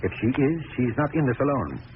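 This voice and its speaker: An actor playing a suave, sinister villain who poses as a kindly doctor, speaking formally with mocking politeness in an old-time radio drama. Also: male voice, medium pitch, big pitch swings, fast talker, close to an everyday voice. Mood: cold, calculating. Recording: scratchy, tinny vintage radio recording.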